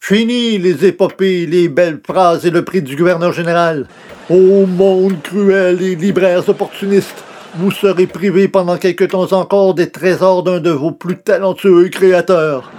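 A man's voice: high-pitched, fairly even intonation, a little slow, a little mellow. A man playing a self-important would-be writer and columnist in an old-time radio comedy, theatrical and grumbling. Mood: grandiloquent. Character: pompous, wounded would-be great writer and newspaper columnist.

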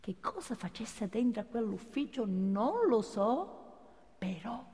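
A woman's voice: fairly high pitch, big pitch swings, slow, mellow. An actress playing a naive, cheerful working-class office woman in a comic stage monologue, with a rambling manner that keeps seeking agreement. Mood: confiding. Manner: rambling, repeats herself, seeks agreement. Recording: reverberant hall, faint background noise.

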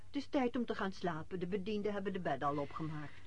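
An actress playing a strict, fretful middle-aged mother in a radio drama, with a husky voice. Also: mid-range voice, expressive, quick pace, darkish tone. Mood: stern.

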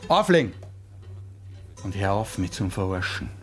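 An actor playing an agitated working-class gunman in a radio drama, gruff and threatening, with a Viennese Austrian accent. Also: deep voice, very animated, unhurried, soft background music. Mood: threatening, impatient. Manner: threatening, gruff, sarcastically polite, coarse.

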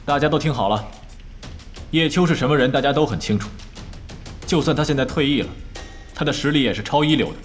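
An actor playing a composed, authoritative esports team captain in an audio drama, speaking in a measured, serious manner. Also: male voice, medium pitch, close to an everyday voice. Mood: firm, encouraging. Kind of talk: Chinese audio drama acting.

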